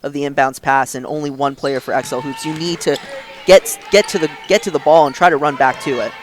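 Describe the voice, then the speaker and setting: A man giving color commentary on a basketball game, analysing plays with quick restarts. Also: on the high side, steady tone.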